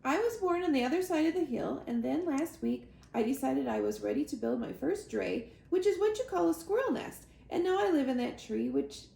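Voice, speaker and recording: A woman voicing a young, nervous, excitable female squirrel who rambles breathlessly in a children's storybook. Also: high voice, brisk, hardly any pauses, voice pushed up, exaggerated voice. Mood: excited. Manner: rambling, breathless run-on chatter. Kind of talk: children's storybook read-aloud. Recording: roomy echo, clear background noise.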